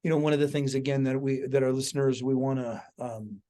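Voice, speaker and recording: A man's voice: medium pitch, steady tone, a little fast, mellow. A man hosting a podcast in a casual conversational style, inquisitive and circling around his questions before he lands them. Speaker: podcast host and interviewer.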